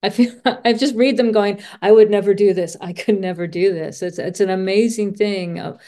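Woman, average pitch, conversational interviewer, informal, word-searching, repeats phrases. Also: steady tone, moderate pace, mellow. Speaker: podcast host interviewer.

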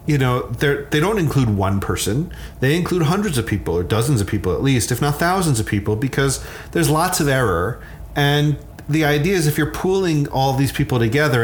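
Male expert interviewee, medium pitch, careful conversational explanations, noisy background. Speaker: sleep scientist, interview guest.

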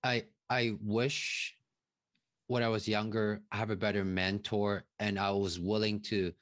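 A man talks in a fairly low voice with very little room sound. He is informal and emphatic, explaining his views as an interview guest and often seeking agreement. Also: little pitch movement, a little slow, fairly bright tone, no echo, clean studio sound. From Taiwan. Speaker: interview guest, real estate investor and mentor. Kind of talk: casual podcast interview.

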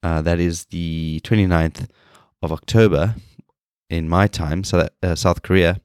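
Deep-voiced guy with a cold, nasal, kinda tired, rambling podcast talk. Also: steady tone, a little slow, darkish tone, clean tone, no echo, studio quality. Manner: low-energy, rambling with restarts. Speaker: podcast host.

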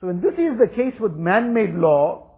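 A man's voice: high-pitched, very animated, moderate pace, creaky. A man whose first language is Urdu, giving an earnest religious talk and repeating phrases for emphasis. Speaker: religious teacher. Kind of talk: Islamic talk. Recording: tinny phone-like recording.

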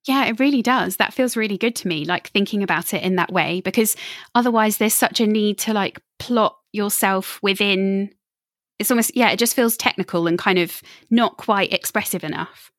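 A woman hosting a casual interview. She keeps affirming her guest and encourages them to keep talking, with a voice at a middling pitch. The recording is dry and close, with no room echo. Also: normal speed.

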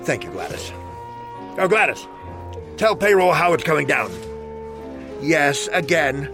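An actor playing a cantankerous older newspaper editor, blustery and grumbling. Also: male voice, fairly high pitch, sing-song, measured pace, vocal fry, music in the background, full character voice. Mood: exasperated.

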